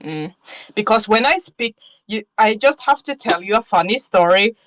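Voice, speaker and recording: A woman from Finland with a strong Finnish accent, chatting as a guest, giggling often and pausing to search for words. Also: mid-range voice, lively intonation, fairly bright tone. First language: Finnish-Swedish bilingual. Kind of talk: casual interview chat.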